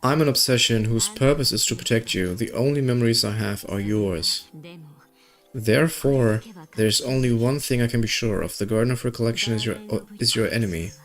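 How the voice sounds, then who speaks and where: A man's voice: mid-range voice, a little fast. A man reading video game story dialogue aloud and adding casual commentary, occasionally stumbling over words. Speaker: gaming video creator. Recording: music behind the voice.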